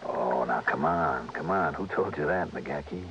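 Low-voiced American radio detective. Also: male voice, measured pace, a bit bright, slight background noise. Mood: steady, sympathetic. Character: hard-boiled freelance insurance investigator, steady and decent. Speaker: lead actor and first-person narrator. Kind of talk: 1960s American radio detective drama acting. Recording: dull vintage radio recording, music behind.

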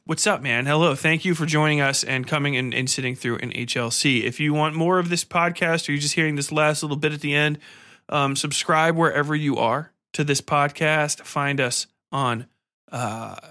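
A man's voice: medium pitch, pushed and bright. A man hosting a solo podcast, talking casually in a profane, slangy, rambling way about films. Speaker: podcast host. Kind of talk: casual solo film review and rant.

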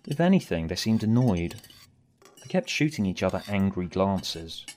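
A man's voice: low-pitched, sing-song, normal speed, darkish tone, slight background noise. A man reading a scripted story aloud as its narrator, with music behind him. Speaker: author and narrator.

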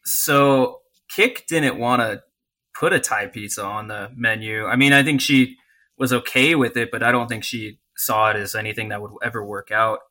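Man, bright voice, casual interview, rambling and hedging, food truck owner. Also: medium pitch, steady tone, moderate pace.

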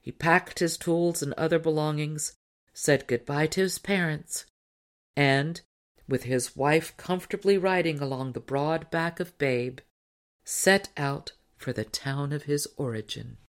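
A woman reading a tall tale as an audiobook narrator, in measured, clearly phrased scripted storytelling. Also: sits low.

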